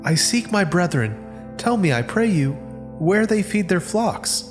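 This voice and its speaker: Teenage Hebrew shepherd boy, acted by a man, earnest, lively melodic delivery, archaic scripture drama, light music behind. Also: high-pitched, a little slow. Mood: willing, inquiring. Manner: archaic, declaiming scripture dialogue. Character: seventeen-year-old Hebrew shepherd boy, earnest dreamer.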